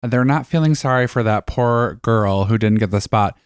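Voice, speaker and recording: A man's voice: medium pitch, normal speed, smooth, dry recording. A young man, co-hosting a casual podcast chat with dry, sarcastic humor. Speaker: podcast co-host.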